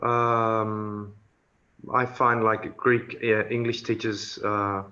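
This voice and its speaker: A man talking casually in an online meeting, halting with many pauses, over dull call audio. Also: on the low side, little pitch movement, bright, forceful tone. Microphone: online video call.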